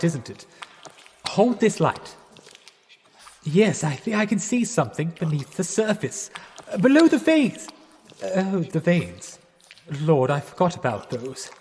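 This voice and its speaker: An actor playing a squeamish, dithering young English gentleman student in a 1920s period radio drama, polite and hesitant, with an English accent. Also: high voice, sing-song, slow pace, pauses often, slightly rough, full character voice. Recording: long reverberant space, music underneath. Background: music.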